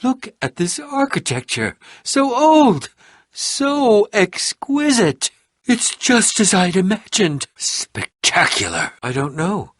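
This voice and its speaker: An actor voicing an excitable, eccentric, sarcastic adventurer in a video game, with a theatrical delivery that moves between muttering and exclaiming. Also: male voice, high voice, slow pace, no echo, studio quality, exaggerated voice. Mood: excited, elated. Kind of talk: animated video game voice acting.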